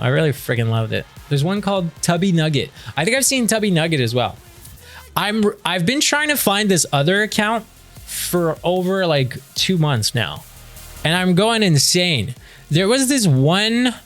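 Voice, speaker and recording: Animated chatty male streamer. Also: high voice. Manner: chatty, animated, reads viewer messages aloud and replies. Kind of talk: casual live-stream chat before a game.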